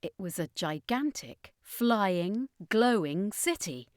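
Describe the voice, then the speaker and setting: A woman's voice: mid-range voice, very animated, slow pace, no room sound, studio quality. A woman reading a scripted fantasy adventure story as its narrator.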